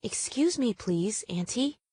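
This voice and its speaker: A woman voicing a small, sick young female sparrow in a children's storybook, pleading gently and respectfully. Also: fairly high pitch, animated, slow pace, slightly rough, no room sound, full character voice. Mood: polite pleading.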